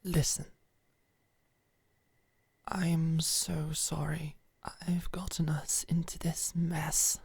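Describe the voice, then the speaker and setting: A proud but tender lady knight, acted by a woman, murmurs in a low, breathy voice. She speaks slowly and with restraint, close and dry, as in an intimate ASMR medieval roleplay. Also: little pitch movement, no room sound, exaggerated voice. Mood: tender, apologetic. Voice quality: hushed, breathy.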